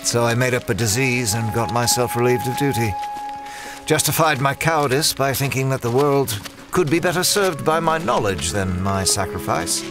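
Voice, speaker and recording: A man's voice: medium pitch, normal speed, music in the background, close to an everyday voice. A man acting as an earnest, guilt-ridden scholar adventurer in an audio drama, with a hesitant, confessional manner. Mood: haunted, confessional.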